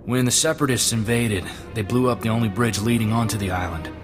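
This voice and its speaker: A man's voice: fairly low pitch, quick pace, lightly acted. An eager young gunslinger sidekick played by a male actor speaks earnestly with folksy cockiness. It is video game dialogue, with long reverb and music underneath. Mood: amused, earnest. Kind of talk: scripted video game acting.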